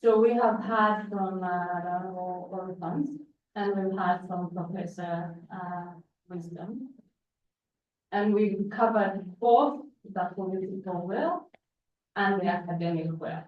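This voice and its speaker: A woman's voice: fairly low pitch, slow, slight background noise. A woman in her forties from Uganda whose first language is Luganda, telling a personal story in a conference speech and often restarting phrases. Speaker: panel speaker. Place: large hall at a conference. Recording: echoey hall from far off, dull.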